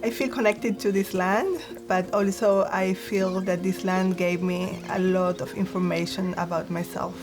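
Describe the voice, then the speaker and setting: A woman's voice: medium pitch, steady tone, measured pace, hardly any pauses. A woman from Argentina, a textile artist, speaking earnestly and using many fillers. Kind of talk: reflective interview. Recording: music bed under voice.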